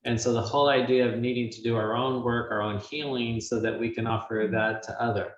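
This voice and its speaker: A man hosting a webinar conversation, asking questions in a reflective, halting way with many pauses. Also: mid-range voice, flat delivery, recorded from a distance. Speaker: webinar host. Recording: dull, roomy sound from off-mic, faint hiss.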